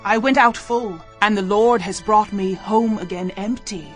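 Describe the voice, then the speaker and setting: An aged widow played by an actress speaks with solemn, emotive weight. She is sorrowful and bitter yet tender toward her daughters, in a mid-range female voice. Music plays clearly under this Bible audio drama. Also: mid-range voice, a little slow, natural voice. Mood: bitter lament. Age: older.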